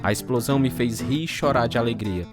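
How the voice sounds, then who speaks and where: A man's voice: medium pitch, brisk, natural voice. A man acting as a volatile, embittered heavy drinker who tells his own story in a dramatised radio narration. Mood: grave, bitter. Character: bitter, volatile hard-drinking husband telling his story. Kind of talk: dramatised first-person scripted narration. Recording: loud guitar music behind the voice.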